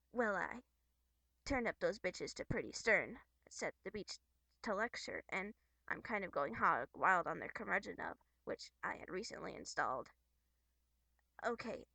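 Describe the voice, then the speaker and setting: A woman's voice: mid-range voice, bright, forceful tone, dry recording. A woman voicing a timid, awkward teenage troll boy with a hesitant, rambling way of talking. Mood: meekly boastful.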